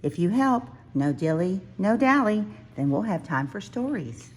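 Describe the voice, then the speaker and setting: Woman reading as a gentle, slow-talking mama bear. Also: mid-range voice, very animated, slight background noise, voice pushed up. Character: gentle grown mother bear. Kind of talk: picture book read-aloud character voice.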